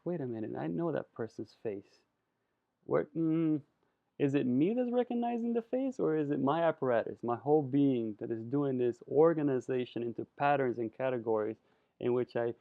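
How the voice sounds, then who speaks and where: A man from Colombia giving a presentation lecture, expressive and savoring his words with drawn-out emphasis. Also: medium pitch, no echo. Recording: dry, phone-like narrow sound.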